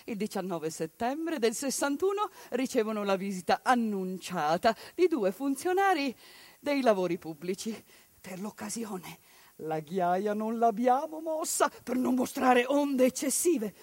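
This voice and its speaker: A woman's voice: on the high side, animated, unhurried, darkish tone, no room sound. A woman performing a theatrical monologue, with impassioned, ironic storytelling and sudden outbursts. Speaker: stage actress and storyteller.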